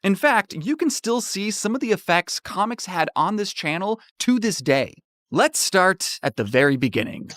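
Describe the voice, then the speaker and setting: A man narrating his own comedic story in an animated, playfully sarcastic way, like a scripted online video. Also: high voice, normal speed, no room sound, clean studio sound.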